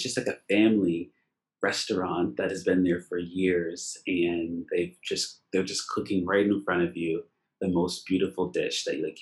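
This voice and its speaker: A man's voice: low-pitched, low-quality recording. A man with a Canadian accent hosting a casual interview, talking in an enthusiastic, effusive, rambling way. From Nova Scotia, Canada. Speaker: interview host.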